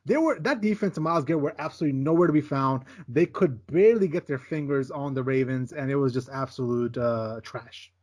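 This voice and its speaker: A man's voice: medium pitch, brisk, hardly any pauses, a little mellow. A man co-hosting a sports podcast, giving emphatic, casual takes on football games and repeating "listen, man" as he goes. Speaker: podcast co-host. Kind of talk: casual sports betting chat.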